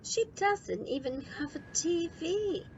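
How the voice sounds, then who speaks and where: A woman's voice: extremely high pitch, animated, takes it slowly, a little mellow, lo-fi sound, a little hiss or hum, voice pushed up, full character voice. A woman voicing a sulky, complaining child in a storybook, grumbling and mumbling. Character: sulky, complaining child unhappy about summer away.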